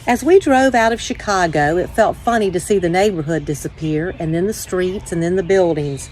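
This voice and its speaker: A woman hosting a children's story time, reading aloud with measured, deliberate phrasing. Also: lowish voice, a little mellow, slight background noise. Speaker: church children's ministry director, story time host.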